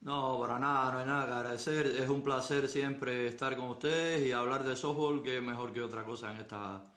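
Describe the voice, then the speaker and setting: A middle-aged man, speaking at a middle pitch, recounts his past in a calm, thoughtful way full of pauses and fillers. His voice comes over a phone on a live stream and rings with an echoey room around him. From Cuba. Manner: unhurried, thoughtful storytelling with many pauses and fillers. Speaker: softball coach. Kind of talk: Instagram Live interview. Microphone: phone on a live video stream.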